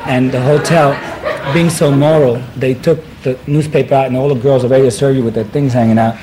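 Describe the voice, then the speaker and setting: A man's voice: mid-range voice, fairly even intonation, fairly quick. A man in his thirties with a Puerto Rican accent, a talk show guest rambling and giggling through comic stories in hip slang on a studio set. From Puerto Rico. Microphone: stand mic. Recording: old TV broadcast audio, hiss.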